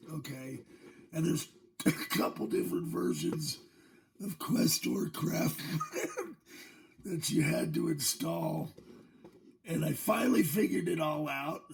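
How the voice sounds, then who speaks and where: A middle-aged man talks slowly and loosely, his voice worn hoarse by a virus. It has a heavy gravelly fry, and he chuckles through his asides. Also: a little high. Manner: joking, chuckling between remarks. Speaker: channel host. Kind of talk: casual demo vlog.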